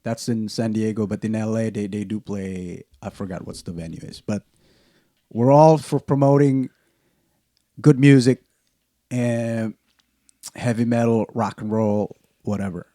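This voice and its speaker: A man with an Indonesian accent hosting a casual podcast chat, talking in halting starts with many restarts. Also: lowish voice, mellow, dry recording, clean studio sound. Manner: halting, many restarts and fillers, laughs often. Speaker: podcast host.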